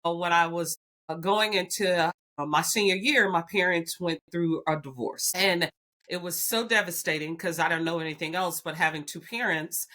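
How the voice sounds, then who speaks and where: A woman's voice: on the low side, fairly even intonation, moderate pace, sharp tone. A middle-aged woman with a Southern Alabama accent, telling her life story earnestly as a podcast guest, with frequent restarts. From Huntsville, Alabama. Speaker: guest, executive. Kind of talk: candid personal storytelling.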